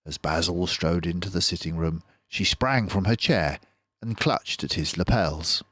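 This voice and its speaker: A man's voice: low-pitched, a little slow, fairly bright tone, no echo. An older man reading a children's book aloud as a storyteller.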